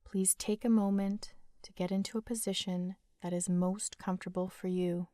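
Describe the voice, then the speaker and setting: A woman leading a guided sleep meditation in a gentle, soothing, unhurried manner with long pauses. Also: mid-range voice, flat delivery, no echo, clean studio sound.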